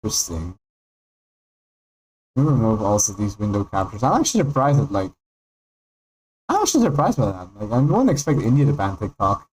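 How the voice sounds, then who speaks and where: A man from Peru whose first language is Spanish, chatting casually and excitably while streaming a game. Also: lowish voice, slightly rough, poor audio. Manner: rambling, excitable, reads out names with pauses. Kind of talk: casual livestream chat while gaming. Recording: faint background music.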